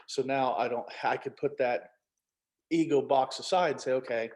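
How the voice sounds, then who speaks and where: A man's voice: medium pitch, normal speed, darkish tone. A man talking casually as an author, telling anecdotes and acting out bits of quoted dialogue. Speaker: author, co-writer of a novel. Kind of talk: casual panel conversation.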